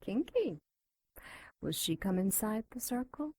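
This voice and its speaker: A woman's voice: mid-range voice, big pitch swings, unhurried, darkish tone, no echo, studio quality. An actress playing a captive female demon in an audio drama, seductive, sardonic and defiant, with a theatrical, taunting delivery. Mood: sultry, taunting.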